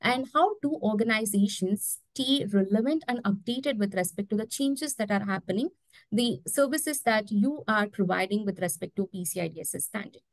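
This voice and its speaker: A woman's voice: mid-range voice, moderate pace, dry recording, rough recording. A woman moderating an online panel discussion and putting questions to a speaker over a video call.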